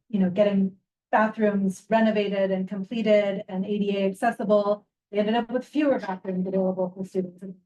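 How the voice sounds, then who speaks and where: A woman with a soft, mellow, dark voice gives an update in an online meeting. She stops often with ums as she reports. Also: medium pitch, muffled recording. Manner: halting, with fillers. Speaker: council member giving an update.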